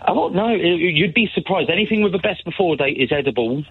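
Husky-voiced man on a phone line. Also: fairly high pitch, lively intonation, fairly quick. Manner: confident, laughs easily, matter-of-fact. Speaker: radio caller. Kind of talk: phone-in chat.

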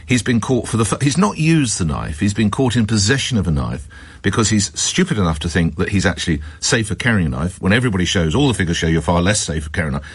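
Male radio host, low pitch, husky, dry studio sound, challenging, persuasive. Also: no room sound. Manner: challenging, persuasive, presses callers with questions. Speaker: radio talk show host. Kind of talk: phone-in radio hosting. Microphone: studio microphone.

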